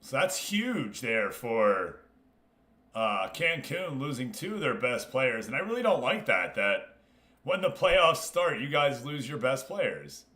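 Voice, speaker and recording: A man's voice: medium pitch, very animated, slow, sharp tone. A man hosting a casual game livestream, talking informally and directly to his viewers. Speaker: streamer and host. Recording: roomy, echoing room sound.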